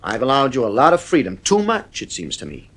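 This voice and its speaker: A man's voice: mid-range voice, huge pitch swings, a little fast, fairly bright tone. An actor in a radio play playing a strict, worn-down father, stern, irritable and emphatic. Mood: firm, strained.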